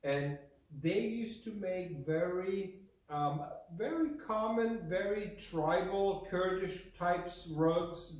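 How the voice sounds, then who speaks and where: Guy showing stuff for a video, talks slow and halting, super echoey like the mic is across the room. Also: on the high side, a little mellow. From Germany. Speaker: video host and Persian rug specialist. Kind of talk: unscripted product presentation. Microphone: camera mic from far away. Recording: very echoey room, distant microphone.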